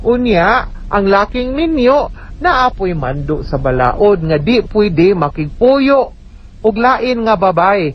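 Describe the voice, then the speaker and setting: A woman's voice: medium pitch, sing-song, slow pace. A woman with a Cebuano Filipino accent giving spoken legal advice on the radio, explaining emphatically and checking with tag questions. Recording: dull, noisy radio recording.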